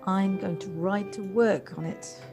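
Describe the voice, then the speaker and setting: A woman in an audio play acting an earnest, reflective woman with a halting, tentative delivery. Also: mid-range voice, fairly even intonation, darkish tone, lightly acted. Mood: happy, tender. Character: earnest, hesitant woman coming home softened and reflective. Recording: home recording on personal device, music behind.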